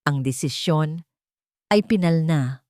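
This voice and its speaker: A Filipino woman voicing a stern old male general, calm, formal and measured, in a story. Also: deep voice, no room sound, studio quality. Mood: cold, final.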